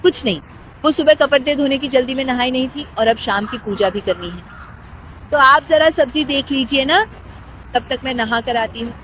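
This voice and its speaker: High-voiced dutiful young daughter-in-law, played by a woman. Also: lively intonation, moderate pace, fairly bright tone, a little hiss or hum, low-quality recording. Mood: polite. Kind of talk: cartoon story dialogue.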